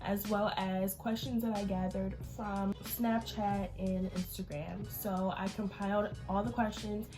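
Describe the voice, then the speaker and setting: A woman's voice: medium pitch, little pitch movement, a little slow, hardly any pauses, over music. An eighteen-year-old woman vlogger with a nasal, congested voice from allergies, chatting casually through a Q&A. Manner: chatty, informal, lots of fillers. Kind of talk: casual Q&A chat to camera.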